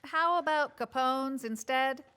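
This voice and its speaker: A woman's performance of a prim female news anchor in a radio comedy. The voice sits fairly high, with formal, deadpan, scripted delivery and an uptight edge. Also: a little slow, faint music behind. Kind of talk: scripted newsreading in a comedy sketch.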